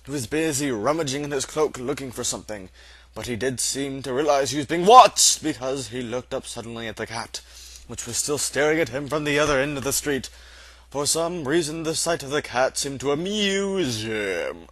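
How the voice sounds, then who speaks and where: A man's voice: medium pitch, expressive, normal speed, a bit bright, dry recording. A man reading a storybook aloud as narrator, in a theatrical, emphatic style. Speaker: amateur audiobook reader.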